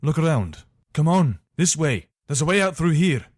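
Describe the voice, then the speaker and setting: A man's voice: on the high side, sing-song, moderate pace, no room sound, studio quality. A man playing a dutiful, weary but decent imperial soldier in a fantasy video game, speaking earnestly in short, commanding phrases. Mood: urgent, commanding. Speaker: voice actor for a game mod.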